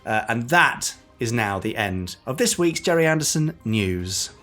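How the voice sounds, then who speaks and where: A man's voice: medium pitch, big pitch swings, measured pace, fairly bright tone, soft background music. A man co-hosting a podcast, casually chatting through news items. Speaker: podcast co-host.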